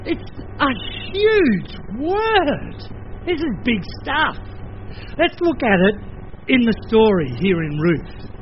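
An older man with an Australian accent, preaching a sermon in a lively conversational way, with playful asides. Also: extremely high pitch, takes it slowly, highs cut off, a little hiss or hum. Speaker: pastor.

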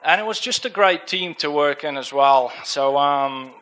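A man's voice: a little high, lively intonation, moderate pace, pushed and bright. A young man with a Dutch accent giving an informal church talk about a mission trip, hesitant with many fillers and restarts. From Netherlands. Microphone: handheld microphone.

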